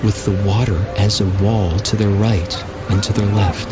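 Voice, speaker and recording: A man giving a solemn, measured, dramatic narration of scripture as the narrator of an audio drama. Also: fairly low pitch, steady tone, over loud music. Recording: studio voice under loud orchestral score.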